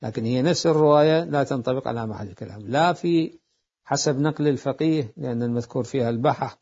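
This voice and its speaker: Male lecturer, mid-pitched, methodical and repetitive delivery; muffled lo-fi audio. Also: a little mellow, slightly rough. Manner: deliberate, repeats phrases to explain. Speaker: seminary jurisprudence teacher. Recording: dull lo-fi lecture recording.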